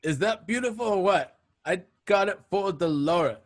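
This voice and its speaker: A man playing an eager, boastful gangster in a crime radio play, excitable and prone to stammering. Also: high-pitched, moderate pace. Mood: proudly eager. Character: eager, boastful gangster placating his angry boss.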